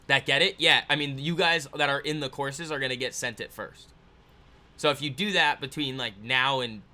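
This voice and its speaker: A man's voice: mid-range voice, pushed and bright. A man in his twenties hosting a casual trading livestream, rambling and laughing as he reads viewer comments aloud. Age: 20s.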